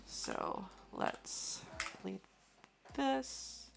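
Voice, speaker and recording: A young woman live-streaming while she models in 3D, musing slowly and chatting with viewers, her voice going hoarse. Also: background noise. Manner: slow, musing, thinking aloud, greets chat. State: losing her voice.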